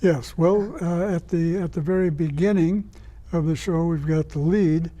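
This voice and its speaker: A man answering interview questions as a guest, explaining things in a measured, informative way. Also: a little high, warm tone. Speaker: guest, writer and director of radio plays.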